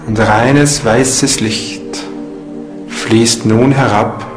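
A man's voice: medium pitch, steady tone, drawn-out words. A man speaking as a meditation teacher. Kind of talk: guided meditation and interview talk. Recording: music behind the voice.

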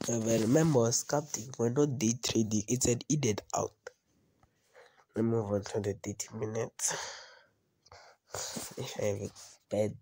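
A man with a cold talks through a phone screen recording in a casual tutorial. His voice is gravelly and husky, with a dark, mellow tone. He keeps repeating phrases and checking "you understand?". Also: lowish voice, fairly even intonation, a little slow, pauses often. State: has a cold. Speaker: trading video host.

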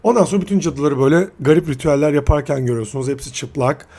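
A man giving casual, slangy film commentary as a video reviewer, halting and correcting himself as he goes. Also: on the high side, creaky, warm tone, a little hiss or hum. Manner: halting, self-correcting delivery.

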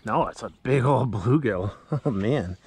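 A man's voice: mid-range voice, measured pace, background noise. A middle-aged man with an Upper Midwest American accent, chatting casually to an action camera while fishing from a kayak on a pond. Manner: chatty, talks to fish and deer, excitable. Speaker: fishing vlogger. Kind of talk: casual outdoor commentary. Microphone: action camera mounted on kayak.

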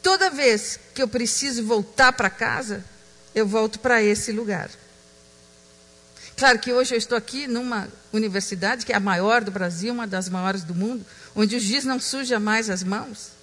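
High-voiced woman speaking in a reverberant hall. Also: moderate pace, fairly bright tone. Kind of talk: reflective public talk.

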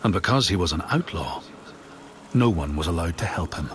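A man playing a storyteller of old sagas in a video game, narrating in a measured, solemn way. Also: low voice, lively intonation. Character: solemn male teller of old saga tales.

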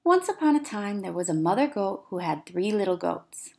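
A woman teacher reading a children's story aloud, with expressive, careful emphasis for young listeners. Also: mid-range voice, normal speed, vocal fry. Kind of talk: children's read-aloud narration.

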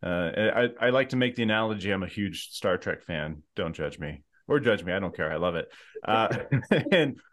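Thirties guy from the us and france, lowish voice, big studio mic at home, animated, jokes about himself. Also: normal speed. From United States and France. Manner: animated storyteller, self-deprecating humor. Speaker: historical fiction author. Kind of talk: casual chat. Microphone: large studio mic with pop filter.

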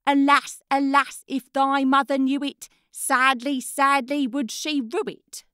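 A woman's voice: sits high, level tone, unhurried, pushed and bright, no room sound, studio quality. A woman voicing a sulky young goose boy who complains to the king in a whiny tone. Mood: complaining.

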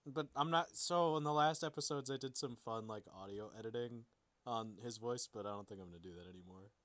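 A podcast co-host chats casually about a story. The voice is bright and forceful, at a middling pitch. The sound is dry, with no room around it. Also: brisk, dry recording. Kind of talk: casual discussion.

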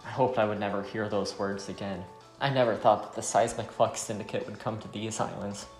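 Man reading a gravelly, resolute war-weary wanderer. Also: lowish voice, steady tone, fairly quick, close to an everyday voice. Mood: regretful. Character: resolute, regretful male wanderer, war-weary leader of companions. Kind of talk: visual novel dialogue read aloud. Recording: roomy sound, faint music.

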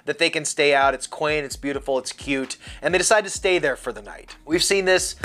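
A man in his thirties with a somewhat high voice, reviewing a movie in a loose, candid way. He sits at his desk at home, talks straight to the camera and gestures with both hands as he goes. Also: a little fast, bright, forceful tone. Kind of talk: casual spoken movie review. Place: home room lined with movie shelves.

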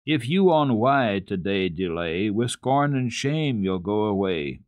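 A man voicing an earnest, kindly little girl who coaxes and recites in a measured sing-song for a storybook. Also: on the low side, lively intonation, darkish tone, no echo. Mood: reciting.

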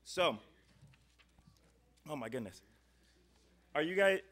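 A man's voice: a little high, expressive, slow, fairly bright tone. A young man hosting a live show in a chatty, rambling, jokey style into a close microphone. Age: young adult. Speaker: host. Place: indoor studio stage. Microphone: close stand microphone.

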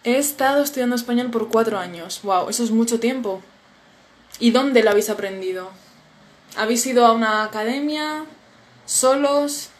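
A woman's voice: on the high side, normal speed. Sleepy, chatty woman on a live stream. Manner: friendly, chatty, reads comments aloud and reacts. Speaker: Spanish teacher hosting a live stream. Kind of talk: casual live-stream chat reading viewer comments. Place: small indoor room at a table. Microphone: phone camera at arm's length.

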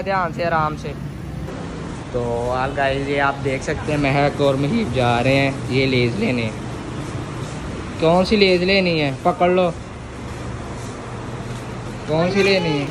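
A young man speaks on a handheld phone camera with a slightly high voice, in no hurry, patiently coaxing children along. Street and shop noise run clearly behind him. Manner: coaxing, repeats short prompts to the children. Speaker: vlogger. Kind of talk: casual vlog narration. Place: outdoors on a street and inside a small bakery.

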